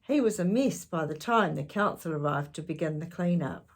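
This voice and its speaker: A woman's voice: low-pitched, animated, normal speed. A woman storyteller reading aloud to children expressively, with chatty asides and questions to her listeners.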